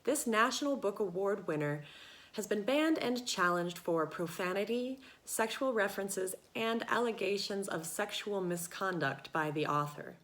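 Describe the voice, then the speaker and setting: A woman presenter reading a scripted introduction in a formal, measured manner. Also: mid-range voice, lively intonation, a bit bright. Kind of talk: scripted announcement, read aloud.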